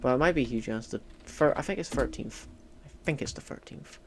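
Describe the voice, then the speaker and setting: A man giving casual gameplay commentary, talking to himself and swinging between loud exclamations and quiet mutters. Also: medium pitch, brisk, a little hiss or hum. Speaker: gaming streamer. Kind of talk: casual let's-play commentary.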